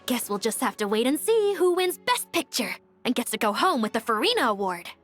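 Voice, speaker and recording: A woman's voice: a little high, sing-song, unhurried, a bit bright, soft background music, exaggerated voice. A woman playing a composed, friendly young female character in video game dialogue. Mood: intrigued. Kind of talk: animated game voice acting.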